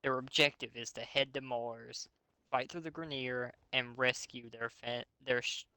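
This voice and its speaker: A man's voice: mid-range voice, steady tone, measured pace, sharp tone, clean tone, no room sound. A man hosting a reading of fan fiction aloud, breaking off into sarcastic, sweary commentary. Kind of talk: mocking dramatic reading with casual commentary.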